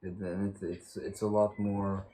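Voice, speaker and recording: A man chatting casually as a vlog host, telling stories in a halting, stammering, joking way. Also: deep voice, flat delivery, dark tone. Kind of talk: casual rambling storytime chat. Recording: noisy amateur vlog recording.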